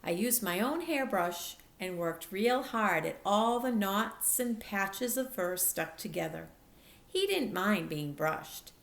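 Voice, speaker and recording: A woman narrates a children's chapter book at a slow, careful pace. Her voice has a noticeable creak, and the room adds an echo around her words. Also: medium pitch, lively intonation. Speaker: teacher reading aloud.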